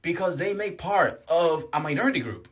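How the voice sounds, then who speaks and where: A man's voice: on the high side, animated, moderate pace. Indignant man from Colombia. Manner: indignant, emphatic. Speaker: online commentator. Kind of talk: reaction video commentary.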